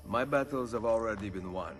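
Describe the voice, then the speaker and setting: A man playing a confident, smooth-talking Italian nobleman and assassin in a video game cutscene, with an Italian accent. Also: on the low side, fairly quick, soft background music, lightly acted. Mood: calm, confident.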